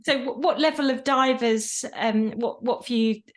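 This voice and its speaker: Woman hosting, halting questions. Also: fairly high pitch. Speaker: podcast host. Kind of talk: interviewing.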